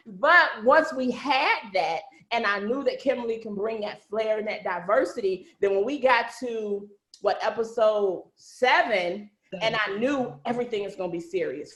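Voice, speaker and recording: Higher-voiced animated woman in an echoey room. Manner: animated, effusive, slangy storytelling. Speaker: series writer and host. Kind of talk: casual video call chat. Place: indoor room on a video call. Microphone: video call. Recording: echoey room over video call.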